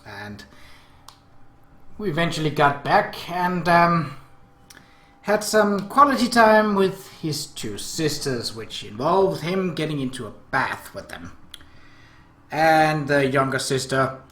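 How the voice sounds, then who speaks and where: A man's voice: fairly high pitch, very animated, slow pace, long pauses, pushed and bright. A man hosting a let's play, reading a visual novel aloud and adding his own commentary. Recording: roomy echo, faint background noise.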